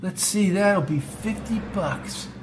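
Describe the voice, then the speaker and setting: A man's voice: high voice, takes it slowly, slight background noise, pitched above the performer's own voice. A man voicing a surly, laid-back stoner working as a gas attendant in an audio story. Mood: snarling.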